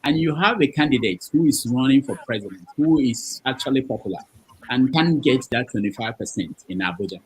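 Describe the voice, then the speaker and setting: A man's voice: medium pitch, animated, fairly quick, a little hiss or hum, low-quality recording. A man hosts a live panel discussion, cutting in to manage the speakers and laying out long hypothetical questions. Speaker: host.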